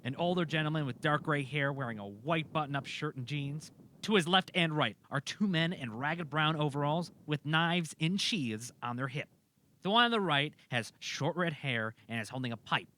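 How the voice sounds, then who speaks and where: A man's voice: on the high side, moderate pace, sharp tone. A man narrating a scripted audio drama in an informal, amateur reading style.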